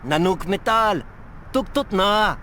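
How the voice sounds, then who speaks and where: Bright, forceful, excitable villager. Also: mid-range voice, slow, slight background noise. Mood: insistent. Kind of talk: video game voice acting.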